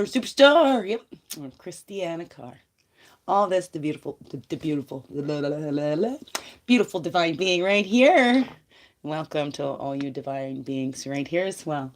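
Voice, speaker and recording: A woman vlogger chatting casually on a livestream about spiritual guidance, rambling and laughing, her voice nasal and stuffy from congestion. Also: low voice.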